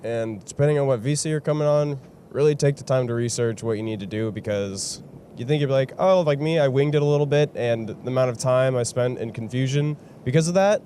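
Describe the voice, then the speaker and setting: A man's voice: medium pitch, a little fast, warm tone, a little hiss or hum. A man from California giving easygoing, rueful advice. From Bay Area, California. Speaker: interviewee.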